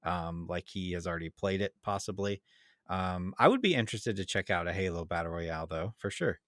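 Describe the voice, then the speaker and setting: Male co-host, slightly deep, casual and hedging as he thinks aloud, very dry sound. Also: lively intonation, a little fast, a bit bright, dry recording. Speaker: podcast co-host. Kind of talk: casual gaming chat.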